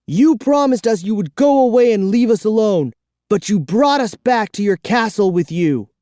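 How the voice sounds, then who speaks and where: A reader voicing a panicked young boy who stammers in a high voice, in a storybook reading. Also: expressive, moderate pace, warm tone, no echo, clean studio sound, lightly acted.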